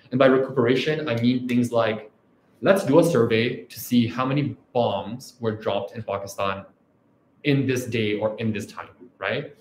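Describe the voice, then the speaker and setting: A man's voice: medium pitch, reverberant, a little hiss or hum, rough recording. A man from Canada, an academic researcher giving a reflective scholarly talk who often closes his points with "right?". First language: Urdu.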